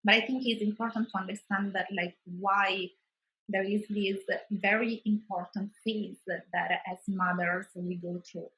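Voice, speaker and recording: A woman's voice: mid-range voice, fairly even intonation, a little slow, a bit bright, slight background noise, low-quality recording. Woman with an Italian accent. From Italy. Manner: thoughtful, many restarts and fillers. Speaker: wellbeing consultant guest. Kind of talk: conversational interview.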